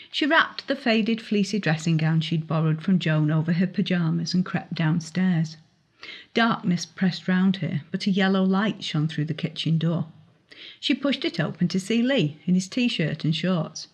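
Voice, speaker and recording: A woman narrates a young adult novel in a dramatic storytelling style. Her voice sits a little on the low side for a woman and has a husky, unpolished grain, suited to an author reading her own work. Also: a little slow.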